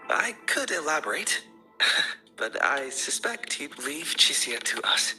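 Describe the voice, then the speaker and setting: An actor playing a thoughtful, courteous academy researcher in a video game, explaining things in an articulate, deliberate way. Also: male voice, fairly high pitch, sing-song, pushed and bright, faint music behind, exaggerated voice. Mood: thoughtful, apologetic. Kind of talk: scripted video game exposition.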